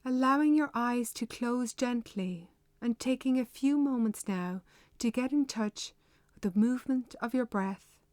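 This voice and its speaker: A woman leading a guided meditation with measured, unhurried, gently instructive delivery. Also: on the high side, no echo, clean studio sound.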